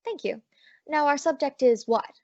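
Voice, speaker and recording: A woman's voice: sits high, moderate pace, a little mellow, dry recording. A woman playing a sassy, breezy lady detective with wry quips in an old-time radio drama. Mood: breezy, teasing. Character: sassy, wisecracking lady private detective, confident and flirtatious. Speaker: detective lead and narrator. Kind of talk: old-time radio detective acting and first-person narration. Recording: dull lo-fi audio.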